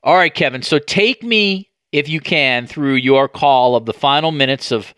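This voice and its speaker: A man's voice: fairly high pitch, moderate pace, pushed and bright, smooth, no echo, studio quality. A middle-aged man hosting a podcast in a conversational style, hesitant and trailing off at phrase ends. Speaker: podcast host.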